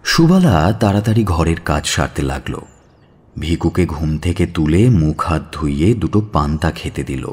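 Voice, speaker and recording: A man's voice: extremely low pitch, lively intonation, normal speed, a little mellow, slightly rough. A man narrating a scripted audio story in a dramatic storytelling style.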